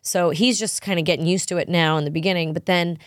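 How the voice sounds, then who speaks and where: Woman podcast co-host, casual and lively, lowish voice, dry close sound. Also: fast talker, no echo. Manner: chatty, animated, lots of laughs. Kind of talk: casual chat.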